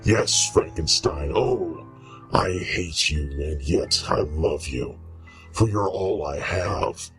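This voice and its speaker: A man's voice: very deep voice, music in the background. A man playing a bitter, lonely monster, speaking in a solemn, grandiose way in a radio horror drama. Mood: lonely pleading. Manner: solemn, grandiose, deliberate delivery. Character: lonely, bitter male giant monster, loathing and pleading. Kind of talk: old-time radio horror acting.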